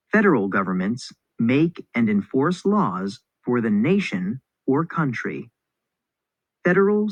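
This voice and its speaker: A man reads scripted educational material as a narrator, in a clear instructional manner. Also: mid-range voice, no room sound. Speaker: narrator reading a textbook passage. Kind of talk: scripted educational read-aloud.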